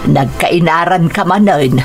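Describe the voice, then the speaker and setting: A woman's voice: lowish voice, measured pace, faint music behind. An actress playing a humble, fussing elderly mother in a radio drama, with a northern Philippine accent.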